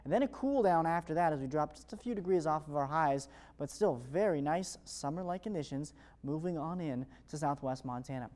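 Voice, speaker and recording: A man's voice: on the high side, lively intonation, fairly quick, a little mellow. An American man presenting a morning television weather forecast in an upbeat, fluent presenter's delivery. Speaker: television meteorologist.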